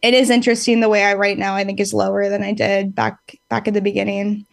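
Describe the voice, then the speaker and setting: A woman's voice: medium pitch, flat delivery, fast talker, vocal fry, no room sound, clean studio sound. A woman in her late twenties, a band's singer, chatting casually as a guest, rambling and reflective with many fillers and easy laughs. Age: late 20s. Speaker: band singer, podcast guest. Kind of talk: casual interview chat.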